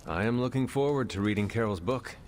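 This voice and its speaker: A man voicing a calm, earnest warrior hero in a video game, with formal, measured phrasing. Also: mid-range voice, slight background noise, natural voice. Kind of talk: video game cutscene acting.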